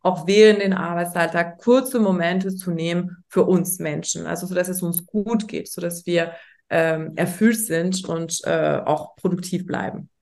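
A woman's voice: fairly low pitch, steady tone, measured pace, gravelly, darkish tone. A woman from France with a French accent, a native French speaker, talking as a guest in a casual interview in a thoughtful, hesitant way with frequent fillers. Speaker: guest, startup founder.

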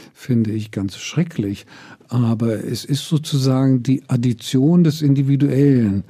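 An older man from Israel speaks slowly and thoughtfully, pausing often to gather his words. His voice is very dark and mellow. He sounds measured and reflective, like a guest weighing each answer. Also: medium pitch, slightly rough. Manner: slow and deliberate, many hesitations.

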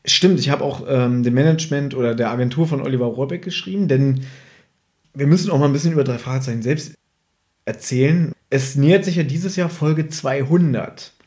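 A man's voice: mid-range voice, brisk, darkish tone. A man, a podcast host chatting casually and humorously in his living room, sharing one microphone. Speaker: podcast host and audio drama fan. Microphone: one microphone shared with co-host.

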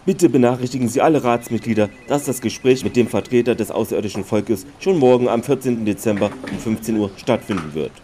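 A man's voice: medium pitch, fast, few pauses, warm tone, lightly acted. A man playing a self-important, ambitious governor in a German sci-fi audio drama, pompous and commanding. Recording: faint background ambience noise.

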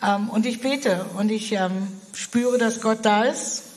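A woman's voice: fairly high pitch, slow pace, darkish tone, in a large hall, rough recording. A German woman, a missionary, answering interview questions in a hesitant way, with many fillers and restarts. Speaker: missionary guest. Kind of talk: interview conversation.

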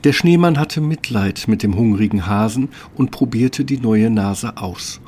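A man's voice: fairly low pitch, a little slow, darkish tone, slightly rough. A man reading scripted fairy-tale narration as the storyteller of a radio play.

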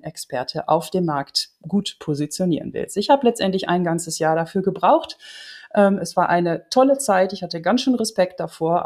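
Lady, soft mellow voice, chatting through tips for a podcast. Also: on the low side. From Netherlands. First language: Dutch. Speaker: business coach and author. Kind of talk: podcast tip monologue, conversational.

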